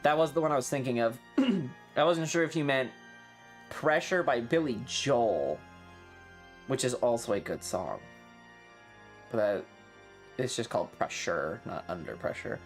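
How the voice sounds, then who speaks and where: Casual livestreamer, opinionated and foul-mouthed, animated delivery, rambling chat over faint music. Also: medium pitch, unhurried, pauses often. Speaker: streamer.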